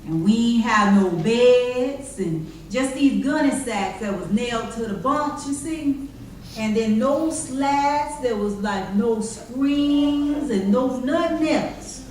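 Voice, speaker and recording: A woman performing as an elderly formerly enslaved woman in a readers theater piece, speaking earnestly and haltingly. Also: a little high, a little mellow. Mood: matter-of-fact recollection. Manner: halting, repetitive, deliberate. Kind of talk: readers theater monologue in old-time dialect. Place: church hall stage, recorded from afar. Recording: distant, very echoey hall, faint noise.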